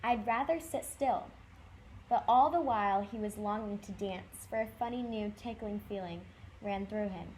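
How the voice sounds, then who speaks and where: Mellow, higher-voiced woman reading a storybook. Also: poor audio. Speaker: volunteer storytime reader. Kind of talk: children's story read-aloud. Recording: clear background noise.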